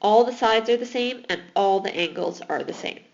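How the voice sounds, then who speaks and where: A woman's voice: fairly high pitch, moderate pace, highs cut off. A woman teaching math in a recorded lesson, explaining patiently while losing her voice. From Alberta, Canada.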